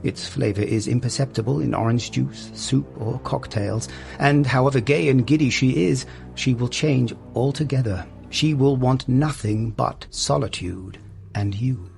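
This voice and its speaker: A man voicing a sly, soft-spoken old male potion seller, calm and insinuating. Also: medium pitch, a little slow, voice pushed up. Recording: gravelly voice over faint music.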